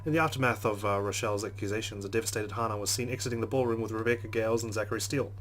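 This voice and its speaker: A man's voice: lowish voice, steady tone, rapid-fire, a bit bright, a little hiss or hum. A man playing a visual novel, reading its narration aloud and adding casual, joking asides. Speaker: let's play gamer. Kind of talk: reading game text aloud with commentary.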